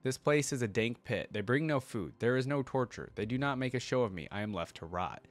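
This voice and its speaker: A man's voice: medium pitch, fairly quick, natural voice. A man voicing a brutal, bloodthirsty undead warrior in terse, grim declarations, as a dramatic story reading. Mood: brooding, weary.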